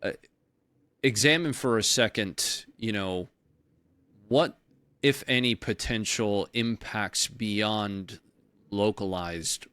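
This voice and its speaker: A man with a fairly low voice hosts an interview, working through his thoughts in halting, restarting phrases. The recording is dry and close, with no room sound. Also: a bit bright, clean tone, no room sound. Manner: halting, many "you know" and restarts. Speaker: interview host. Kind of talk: casual interview talk.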